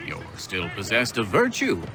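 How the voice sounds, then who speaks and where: An elderly gentleman scholar for a video game cutscene. The voice is low and swings very widely in pitch. He is wordy, theatrical and genially self-deprecating, with old-fashioned phrasing. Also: wildly swooping pitch, moderate pace, music in the background. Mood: genial, wry. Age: older.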